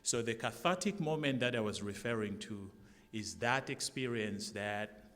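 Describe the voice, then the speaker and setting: A middle-aged man with a South African accent, speaking haltingly at a formal forum as a candidate for college president. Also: on the low side, a bit bright. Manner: halting, with many restarts. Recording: long hall reverb.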